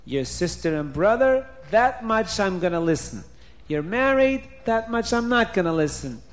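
A man voicing a wicked, self-pitying storybook king who wails and complains in drawn-out cries. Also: high-pitched, big pitch swings, pitched above the performer's own voice. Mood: stubborn refusal. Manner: wailing, drawn-out cries of woe.

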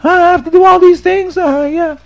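High, whimpering, distraught penitent. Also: male voice, steady tone, moderate pace, creaky, muffled recording. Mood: anguished, flustered. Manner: whimpering, stammering, overwrought. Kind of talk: oral religious storytelling, character voice.

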